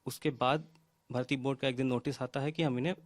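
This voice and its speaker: A man's voice: medium pitch, steady tone, fast talker, no echo. A young man explaining a grievance in a news interview, mixing in many English words. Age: young adult. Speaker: job candidate interviewed by news.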